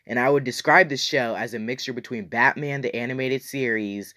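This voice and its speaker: A man's voice: mid-range voice, brisk, pushed and bright. A man talking casually to viewers as an enthusiastic, opinionated reviewer who leans on emphatic repetition. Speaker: YouTube reviewer, host.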